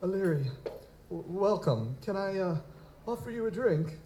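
A man's voice: high-pitched, animated, moderate pace, dark tone. An actor playing an anxious, grieving young nobleman in a live audio drama, speaking formally with a fretful stammer. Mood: shaken. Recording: echoey live stage, background noise.

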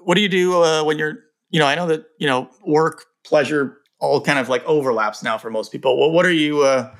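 A man in his forties, originally from England, hosting an interview in a relaxed way, asking long, rambling questions. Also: fairly high pitch, fairly quick. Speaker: podcast host. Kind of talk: casual interview talk.

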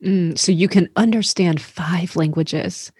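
A woman's voice: lowish voice, a little slow, a little mellow, breathy edge, no echo. Woman interviewer, affirming and attentive, lots of mm-hmms, dry studio sound. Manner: encouraging, frequent mm-hmms. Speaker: podcast host. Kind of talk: casual interview.

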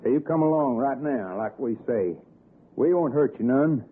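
Ominous, husky Appalachian hill man. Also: medium pitch, expressive, moderate pace. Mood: menacing, urgent. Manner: folksy, ominous. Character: menacing, grave Appalachian hill man steeped in old superstition. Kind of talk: 1960s radio suspense acting. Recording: narrow, lo-fi vintage radio recording.

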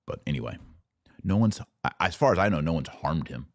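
Male commentator, very deep voice, dry close sound, sarcastic, emphatic, opinionated podcast delivery. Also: lively intonation, a little fast, dry recording. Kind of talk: opinionated live commentary, reading aloud and reacting.

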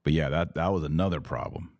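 Very deep-voiced man, close dry sound. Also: fast talker, darkish tone, dry recording. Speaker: podcast host. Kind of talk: live commentary and interview.

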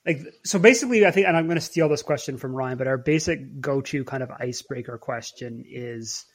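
Gravelly-voiced male podcast host. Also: medium pitch, fairly quick. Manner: thoughtful, hesitant, many fillers and restarts. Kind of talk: casual conversational podcast talk.

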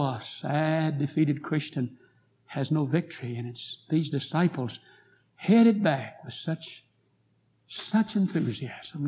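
Hoarse man, revival preacher, mellow husky voice, fervent appeals, church sanctuary. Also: fairly high pitch. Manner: rising to fervent pleas, then quiet prayer. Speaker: guest preacher. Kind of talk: revival sermon with story.

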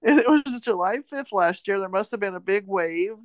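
A woman's voice: medium pitch, lively intonation, normal speed, no room sound. An American woman co-hosting a podcast, casually retelling news in a loose, meandering way. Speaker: podcast co-host.

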